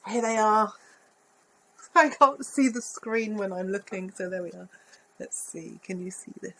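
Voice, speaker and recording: A woman reading a picture book aloud to children, expressive, with little asides about the pictures. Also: medium pitch, measured pace, rough recording. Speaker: volunteer story reader.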